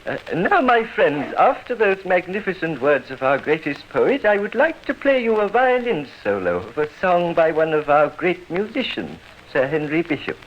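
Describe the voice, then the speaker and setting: Sly theatrical criminal, swooping high voice. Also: male voice, very animated, normal speed, few pauses, muffled recording. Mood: showy politeness. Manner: florid, mock-courteous, declaiming. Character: sly, theatrical master criminal posing as respectable gentleman. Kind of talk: 1950s radio drama acting. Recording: faintly noisy old radio recording.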